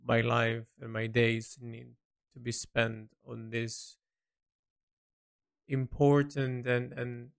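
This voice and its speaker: A man recording a reflective spoken diary, speaking earnestly and haltingly as he searches for his words. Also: medium pitch, level tone, creaky. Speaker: artist keeping an audio log.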